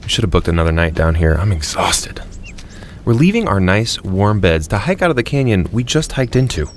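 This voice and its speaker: A weary hiker in an audio comedy drama. His voice sits fairly low but moves expressively, with dry exasperation, over some ambient noise. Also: big pitch swings, a little fast. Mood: weary, exasperated. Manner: dry, grudging.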